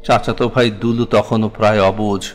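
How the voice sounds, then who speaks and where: A man with a Bangladeshi accent reciting a scripted memoir in a solemn, literary and expressive manner. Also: on the low side, normal speed. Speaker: narrator of a war memoir. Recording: long reverberant echo on voice.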